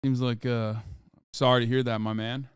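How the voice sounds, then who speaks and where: A man's voice: medium pitch, flat delivery, moderate pace, clean tone, no room sound, studio quality. A man in his thirties, a native Turkish speaker, ranting sarcastically with heavy swearing while reacting to a clip on his stream. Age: 30s. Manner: heated, sarcastic ranting with many fillers and swearing. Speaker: streamer reacting to news clips. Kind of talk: casual profane commentary on a livestream.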